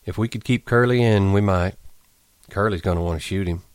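Man playing a calm, quiet ranch leader. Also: deep voice, a little fast, dry recording, studio quality, close to an everyday voice. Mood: grave. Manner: quiet, steady.

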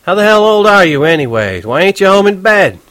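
Guy putting on a cranky middle-aged cab driver, yelling high and loud, rough slang. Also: sing-song, a little fast, dry recording. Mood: gruff. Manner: shouting, touchy, rough street slang.

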